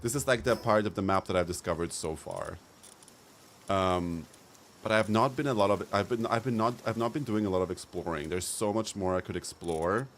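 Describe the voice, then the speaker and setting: A man's voice: fairly low pitch, brisk, a little hiss or hum. A young man live-streaming a game, chatting casually in a campy, playful way and laughing often. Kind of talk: casual live-stream chat over gameplay.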